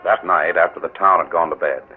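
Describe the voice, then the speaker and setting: A man's voice: low voice, fairly quick, slightly rough. A man narrating a radio drama in a dramatic, announcer-like manner. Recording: narrow old radio recording with music.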